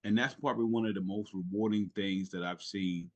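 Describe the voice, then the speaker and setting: Guest spot: middle-aged man with a lowish voice, earnest and halting, retired navy chief telling his story. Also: fairly even intonation, lo-fi sound. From South Carolina, raised in Italy. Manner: earnest, lots of fillers and restarts. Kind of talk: casual podcast interview.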